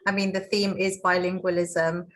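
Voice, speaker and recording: A woman's voice: on the low side, little pitch movement, moderate pace, a bit bright. Colombian-born woman teacher speaking earnestly. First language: Spanish. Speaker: EAL teacher and panelist. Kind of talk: prepared personal talk on a panel.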